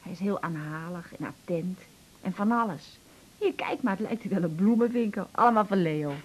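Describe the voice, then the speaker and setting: A woman's voice: mid-range voice, normal speed, close to an everyday voice, rough recording. An actress playing a self-conscious, anxious middle-aged mother in a Dutch radio drama, questioning and unsure, with an accent from the Netherlands. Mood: seeking reassurance. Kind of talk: naturalistic 1980s radio drama acting.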